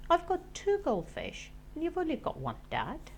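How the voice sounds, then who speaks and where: A woman's voice: sits high, huge pitch swings, moderate pace, slight background noise, pitched above the performer's own voice, exaggerated voice. A woman voicing a blunt, choosy young boy who drives a hard bargain in a storybook, with punchy delivery. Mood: haggling. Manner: emphatic, punchy listing.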